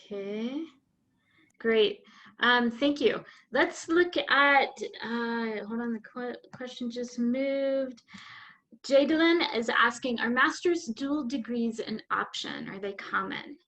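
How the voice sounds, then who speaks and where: Webinar moderator, woman, chipper, reads questions briskly, higher pitch. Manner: bright, quick, reads out audience questions. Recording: online video call.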